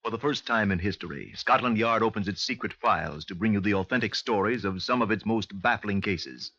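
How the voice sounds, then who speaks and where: An actor as an authoritative British narrator of a 1950s radio crime series, formal and deliberate. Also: male voice, on the low side, bright, forceful tone. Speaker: announcer and narrator. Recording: dull old radio recording.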